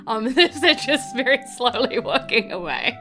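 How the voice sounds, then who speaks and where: A woman's voice: fairly high pitch, animated, normal speed, music in the background. A woman with a New Zealand accent narrating a tabletop game casually as game master.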